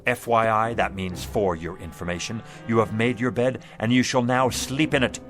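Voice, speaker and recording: An actor playing a humble but wily down-on-his-luck street pitchman in a radio drama, talking in a folksy, earnest manner. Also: normal speed, music in the background, close to an everyday voice. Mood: sly, triumphant. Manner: folksy, earnest, expressive talk.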